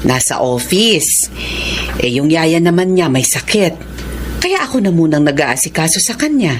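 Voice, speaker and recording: A woman's voice: deep voice, animated, normal speed, background noise. An actress with a Filipino accent playing a devoted, resolute middle-aged mother and grandmother in an audio drama, speaking earnestly and emotively. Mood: gently firm. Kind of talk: Tagalog radio-style drama acting.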